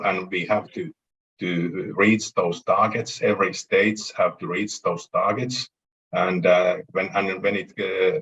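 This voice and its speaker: A man with a Finnish accent giving an unscripted panel answer, speaking haltingly and repeating words. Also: deep voice, fairly bright tone. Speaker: panel speaker from air navigation industry. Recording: dull lo-fi audio.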